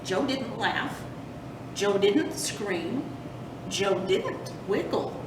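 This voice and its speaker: A woman narrating a children's storybook as a storytime host, deliberately, with dramatic emphasis on action words. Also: animated. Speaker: storytime host reading a picture book. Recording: roomy, distant mic with rumbling noise.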